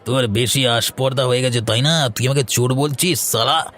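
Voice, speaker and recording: A man's voice: mid-range voice, normal speed, fairly bright tone, a little hiss or hum, lightly acted. A man playing an insolent, bullying young village crook in a cartoon folk tale, with slangy, threatening talk. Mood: menacing.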